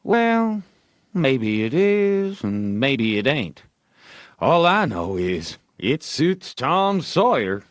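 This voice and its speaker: A man's voice: medium pitch, very animated, no room sound, studio quality, pitched above the performer's own voice. A man voicing a sly young boy who feigns carelessness and reluctance in folksy dialect, for an audiobook. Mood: feigned nonchalance. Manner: folksy drawn-out boyish dialect, coy. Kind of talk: audiobook character voice.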